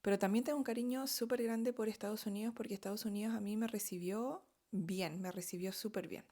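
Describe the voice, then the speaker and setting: A woman's voice: medium pitch, fairly even intonation, brisk, mellow. A middle-aged woman from Chile with a Chilean Spanish accent, telling her own story in a candid, chatty monologue, often checking in with "¿ok?".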